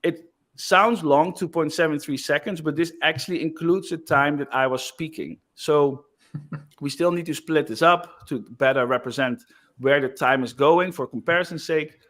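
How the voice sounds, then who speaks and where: A man giving a casual screen-share demo of software, loose and chatty with frequent fillers. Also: fairly high pitch, normal speed. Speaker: software developer presenting.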